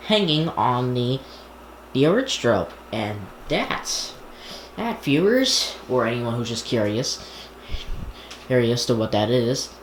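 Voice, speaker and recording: A person talking casually and off the cuff for a vlog, rambling and often restarting sentences. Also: mid-range voice. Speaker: video creator showing a radio collection. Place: indoor room. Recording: echoey room with background noise.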